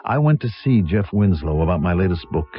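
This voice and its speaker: An actor playing a bitter, proud, aging novelist in an old-time radio mystery, with haughty, scornful, literary diction. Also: male voice, low-pitched, big pitch swings, a little fast. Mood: wry, bitter. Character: bitter, proud, aging novelist narrating his own downfall. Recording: dull lo-fi old radio broadcast.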